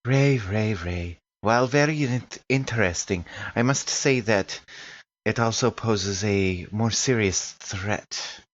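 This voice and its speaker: A man voicing a cautious woman scientist with a measured, formal manner in a tabletop game. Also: on the low side, highs cut off.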